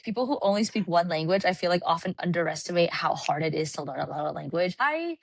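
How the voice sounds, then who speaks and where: A woman from California, a podcast guest, telling travel stories in an animated, casual way with lots of "like". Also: lowish voice, fast, vocal fry, dry recording. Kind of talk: casual chat. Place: Puerto Rico.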